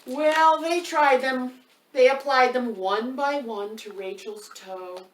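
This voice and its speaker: A woman's voice: sits high, slow pace. A woman hosting a children's storytime, reading a picture book aloud and putting questions to her young listeners. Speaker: author and storytime host. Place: indoor room by a wood stove. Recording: roomy, distant mic, faint noise.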